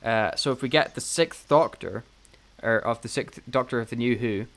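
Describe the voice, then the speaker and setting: A man co-hosting a podcast in casual fan chat, excitable and self-deprecating, with some swearing. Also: medium pitch, moderate pace. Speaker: podcast co-host.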